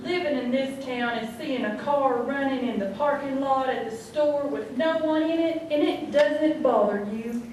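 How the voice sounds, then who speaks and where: A woman's voice: high-pitched, unhurried, few pauses. A woman playing a wry small-town local in a live radio comedy sketch, reciting drily and teasingly. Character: wry small-town townswoman giving a comic testimonial. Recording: echoey hall, distant, noisy live stage.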